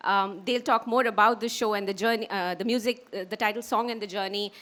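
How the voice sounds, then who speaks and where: A woman's voice: mid-range voice, steady tone, a little fast, fairly bright tone. A woman with an Indian accent giving a public speech as she presents a launch.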